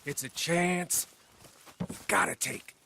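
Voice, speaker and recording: An actor playing a cocksure, insolent American outlaw who mocks and goads in a drawl. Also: male voice, sits high, slow, gravelly, background noise, exaggerated voice. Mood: scheming, persuasive. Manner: mocking, goading, drawling. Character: sneering, cocksure Western outlaw gang schemer, insolent and needling.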